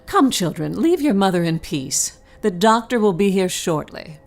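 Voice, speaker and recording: A lively, swooping governess voice in an audio drama. She is brisk and authoritative with a short temper, over faint background noise. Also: medium pitch, creaky. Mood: brisk, composed.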